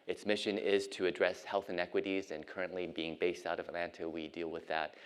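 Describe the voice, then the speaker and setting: A middle-aged American man from Ohio giving an academic lecture as a physician and professor, measured and pausing with frequent ums and uhs. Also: low-pitched, little pitch movement, clean tone. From United States (Ohio).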